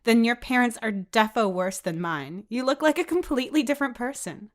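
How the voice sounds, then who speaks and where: A woman's voice: a little high, animated, brisk, pitched above the performer's own voice, lightly acted. A woman voicing a cheeky, teasing, brash teenage tomboy in a story. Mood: amused. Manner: teasing, playful. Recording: music behind the voice.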